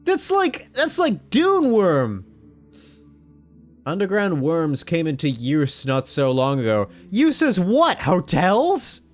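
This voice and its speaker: A man's voice: wildly swooping pitch, slow. Joking gamer man with faint background music. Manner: joking, irreverent, swears casually; jumps to sudden loud high exclamations. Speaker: gaming video host. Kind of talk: casual let's-play commentary, reading game text aloud.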